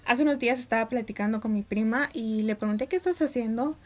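A woman's voice: on the high side, fast. A young woman, chatting casually and upbeat to her viewers at home. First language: Spanish. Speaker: vlogger. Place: home room with neighbors' animals outside.

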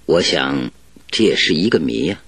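An actor playing a confident, cheerful detective in a radio drama, explaining things in an animated, self-assured, didactic way. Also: male voice, rumbling low, normal speed, no room sound, heavily acted. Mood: assured deducing. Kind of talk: Chinese radio drama acting.

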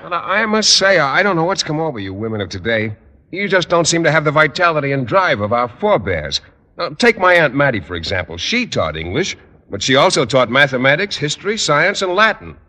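A man's voice: on the high side, sing-song, a little fast, sharp tone. An actor playing an earnest, oblivious man who teaches school, literal-minded and hesitant, in an old radio sitcom. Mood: earnest, admiring. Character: earnest, naive, oblivious bachelor male schoolteacher. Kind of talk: 1940s-50s radio sitcom acting. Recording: hissy vintage radio recording.

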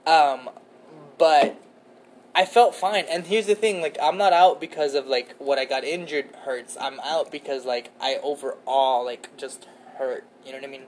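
A man's voice: medium pitch, moderate pace, a little hiss or hum, rough recording. A man in his twenties co-hosting a podcast, chatting in a laid-back, rambling way with lots of fillers and casual swearing. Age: 20s. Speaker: podcast co-host. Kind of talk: casual chat.

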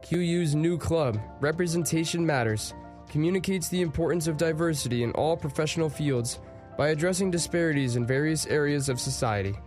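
A man's voice: on the high side, a little fast, a little mellow. A man reading a scripted announcement as a public service narrator. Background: music.

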